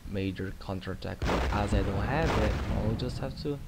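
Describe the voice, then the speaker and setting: A man's voice: on the low side, normal speed, vocal fry. A young man with a Brazilian Portuguese accent, rambling casually through commentary on his own strategy game, with many fillers and restarts. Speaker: gaming channel host. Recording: loud game music and battle sounds behind.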